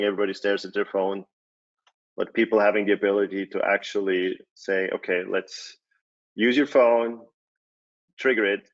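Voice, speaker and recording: A man from Switzerland in his forties giving a conference talk, relaxed, with many fillers and restarts. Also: fairly low pitch, dull audio. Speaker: conference speaker, company CEO. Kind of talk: presentation talk.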